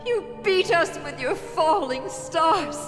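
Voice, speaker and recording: A woman's voice: very high voice, expressive, full character voice. A woman playing a grieving desert mother in a video game, praying to her goddess in a solemn, dramatic way. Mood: bitter. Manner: slow, solemn, prayerful. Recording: long reverb under prominent music.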